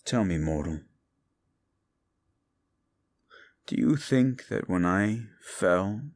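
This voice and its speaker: A man voicing a brooding, bitter fallen demon who lectures the listener in a slow, condescending way in an audio roleplay drama. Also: low voice, expressive, a little mellow.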